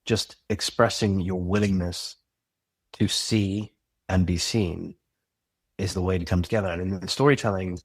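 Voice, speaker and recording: A man's voice: low voice, steady tone, normal speed, no echo. A man who creates and directs audio drama, speaking as a guest in a casual interview, earnest, reflective and passionate.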